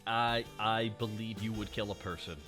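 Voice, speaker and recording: Animated male streamer, bright voice. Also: lowish voice, moderate pace, soft background music. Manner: animated, does cartoon impressions. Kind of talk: casual chat.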